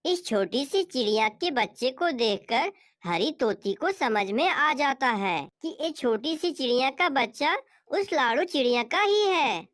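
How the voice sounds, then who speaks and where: A woman's voice: high voice, lively intonation, a little slow, fairly bright tone, dry recording, studio quality. A woman narrating a scripted children's story as its storyteller.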